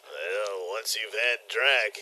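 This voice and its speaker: Man acting a brash, foul-mouthed vampire, forcefully bright. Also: on the low side, slow, full character voice. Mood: cajoling, smug. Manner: brash, sneering, streetwise. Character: crude, bullying male vampire, foul-mouthed and scheming. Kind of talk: comic audio play acting.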